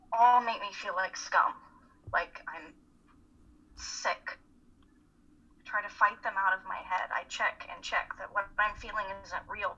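A woman playing an anxious, self-critical college student in a play reading, husky and hesitant. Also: medium pitch, pushed and bright, muffled recording, heavily acted. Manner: hesitant, self-conscious confiding. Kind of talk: dramatic reading of a stage play.

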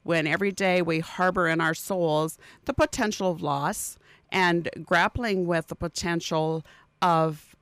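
A middle-aged woman speaking as a parent representative in a radio interview, in earnest, deliberate and rather ornate formal language. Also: on the low side, fairly even intonation, fairly bright tone, smooth, dry recording. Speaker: parent association president, interview guest. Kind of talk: formal spoken statement in an interview. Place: radio studio.

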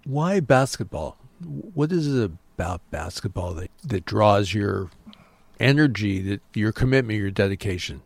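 A man's voice: fairly low pitch, expressive, a little slow, dry recording. A man hosting an interview, asking curious questions and giving short backchannel prompts.

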